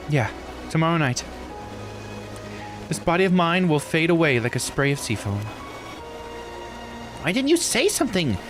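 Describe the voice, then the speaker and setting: Man voicing earnest young visual-novel friend, raised pitch. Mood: upset, pleading. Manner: earnest, emotive. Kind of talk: character dialogue read aloud.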